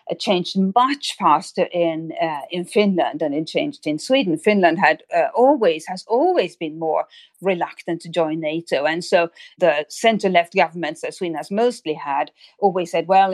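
A woman speaking as an expert guest in an interview, explaining things in an articulate, measured way. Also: on the low side, no echo. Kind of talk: spoken analysis in an interview.

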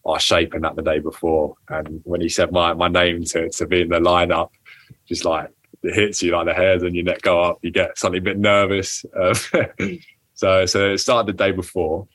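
A man's voice: sits low, little pitch movement, normal speed, a bit bright. A young man answering interview questions casually, with many restarts and ums. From England. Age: young adult. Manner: hesitant, many restarts and ums, laughs often. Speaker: footballer guest.

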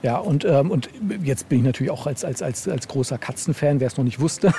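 A man speaking casually as a podcast interview guest, halting and rambling, often repeating words and adding tag questions. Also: mid-range voice, vocal fry, noisy background. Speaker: interviewed guest, horror novel author.

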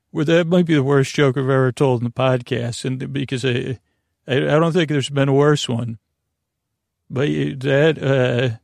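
A man hosting a bedtime podcast in a rambling, halting, gently silly monologue, trailing off mid-thought in a gravelly voice. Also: medium pitch, no room sound, studio quality. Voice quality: creaky, gravelly. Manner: deliberately dull, gently silly. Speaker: podcast host.

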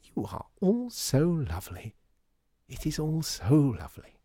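A man voicing a dithery, genteel old lady in a storybook, breathy, effusive and wistful. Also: on the high side, huge pitch swings, a little slow, mellow, no echo, pitched above the performer's own voice, exaggerated voice. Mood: gushing, fond. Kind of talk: storybook character voice.

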